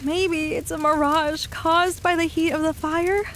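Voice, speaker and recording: Woman acting anxious young girl in an audio drama, super high voice, thinking out loud dramatically. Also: little pitch movement, a little slow, a little hiss or hum. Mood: bleak.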